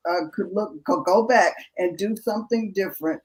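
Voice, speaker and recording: A middle-aged woman guest, a pastor's wife, speaking in a halting way with many pauses and fillers. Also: medium pitch, darkish tone, low-quality recording. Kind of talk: casual candid conversation.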